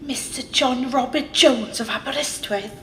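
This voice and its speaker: A woman's voice: high-pitched, normal speed, natural voice. A woman playing a spirited, sarcastic and headstrong young society lady in a radio play, arch and theatrical. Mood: mocking. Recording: heavy ambient noise behind the voice.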